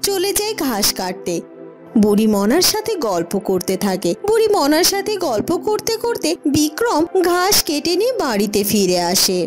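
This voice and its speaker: A woman's voice: high voice, sing-song, normal speed, faint music behind. A woman narrating a scripted cartoon folk tale as a storyteller.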